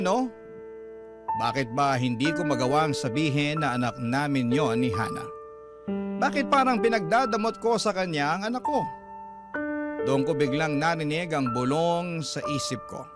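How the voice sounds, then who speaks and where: A man narrating a radio drama in the first person, in a confessional, reflective tone. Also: fairly high pitch. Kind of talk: first-person scripted narration over music. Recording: loud piano music bed.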